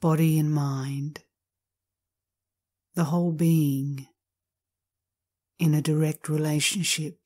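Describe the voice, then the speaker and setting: Woman, meditation guide, soft dark tone, very unhurried pace, calm, measured short phrases, long pauses. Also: deep voice. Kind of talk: slow guided meditation reading.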